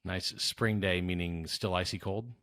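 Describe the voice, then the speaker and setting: A man's voice: sits low, steady tone, unhurried, fairly bright tone, no room sound, studio quality. An American man hosting a podcast, chatting casually and jokingly as he interviews a guest. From South Louisiana, United States. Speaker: podcast host.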